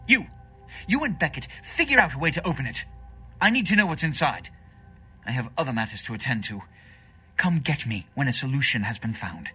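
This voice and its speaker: An actor playing a haughty, short-tempered vampire prince in a video game, speaking in a formal, theatrical way. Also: male voice, mid-range voice, sing-song, brisk, bright, forceful tone, breathy edge, full character voice. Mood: curt commanding.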